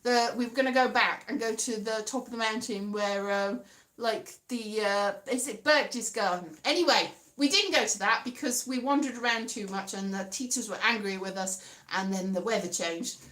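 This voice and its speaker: A woman's voice: fairly high pitch, normal speed, a bit bright. A Welsh woman chatting casually and rambling, correcting herself as she goes and slipping into playful sing-song. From Wales. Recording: echoey room with faint guitar music.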